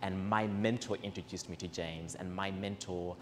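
A young man from Zimbabwe hosting a community event, speaking earnestly and warmly about gratitude into a handheld mic before a seated audience in a large indoor space. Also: deep voice, steady tone, moderate pace. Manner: earnest, personal and grateful. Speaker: event host. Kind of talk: speech to a live audience. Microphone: handheld microphone. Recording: reverberant hall, faint background noise.

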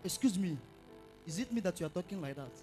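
A man's voice: sits high, animated, quick pace, dark tone, soft background music. A man playing a boastful young campus bully who threatens a woman.

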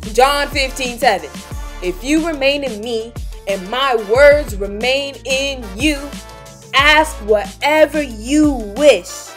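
Woman, young adult, playful kids teacher, lively and high, faint music behind. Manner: playful, puts on silly accents. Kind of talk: playful scripted kids lesson.

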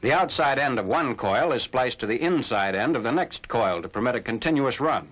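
A man narrating a scripted 1940s military newsreel in a formal, measured announcer's delivery. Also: medium pitch, lively intonation, a bit bright. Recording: noisy old 1940s film soundtrack.

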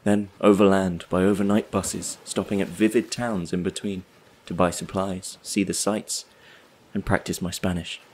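A man's voice: low-pitched, a little mellow, slight background noise, low-quality recording. An actor playing a wistful, solitary drifter who tells his story in a reflective, wry monologue for an audio play.

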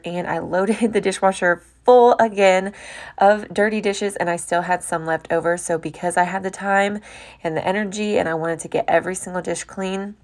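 A young American woman giving a chatty, informal voice-over about household chores, as a homemaking vlogger. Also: fairly low pitch. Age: young adult.

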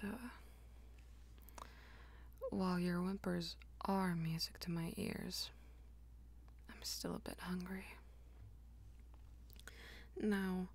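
A woman voicing a jealous, dominant vampire lover in intimate ASMR roleplay, mostly in a breathy whisper with a teasing, commanding manner. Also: lowish voice, darkish tone, heavily acted. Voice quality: breathy, husky whisper with creaky edges.

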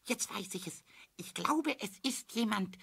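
An actor playing a small, hot-headed, mischievous kobold in a children's radio play, squeaky, excitable and stammering over repeated words. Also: male voice, measured pace, vocal fry, breathy edge, full character voice. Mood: eager, scheming. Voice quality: squeaky, screechy.

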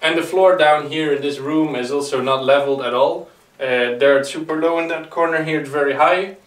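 A man's voice: fairly high pitch, fairly quick, no room sound, low-quality recording. A young man hosting a renovation vlog, explaining the work in a plain, matter-of-fact way. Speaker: host of a renovation project vlog. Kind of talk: explanatory vlog talk and narration.

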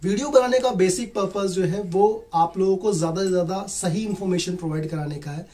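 A man's voice: high-pitched, fast, mellow. A man in his thirties with an Indian accent, presenting a property walkthrough as host and explaining things directly to viewers. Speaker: property consultant and video host. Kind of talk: property walkthrough presentation mixing Hindi and English.

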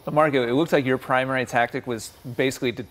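A male interviewer asking measured, probing questions after a tennis match. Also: medium pitch, steady tone, a bit bright, in a large hall.

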